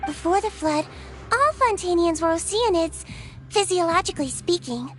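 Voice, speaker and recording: A gentle high-pitched little nurse. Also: female voice, lively intonation, moderate pace. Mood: calm. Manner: gentle and composed, clinical. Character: small kindly nonhuman head nurse, calm and clever. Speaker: head nurse. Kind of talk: video game voice acting.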